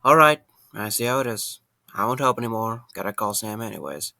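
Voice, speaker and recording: Casting: young male night guard played by a man, creaky voice, sarcastic, caring, very dry recording. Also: fairly low pitch, expressive, a little fast, bright, forceful tone, no room sound, full character voice. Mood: teasing. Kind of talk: audio drama acting.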